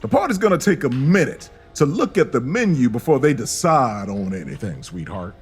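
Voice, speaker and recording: A husky, folksy leader of travellers in an audio drama. The voice is calm and protective but very lively in pitch, with faint music behind. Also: female voice, moderate pace, exaggerated voice. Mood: firm, courteous.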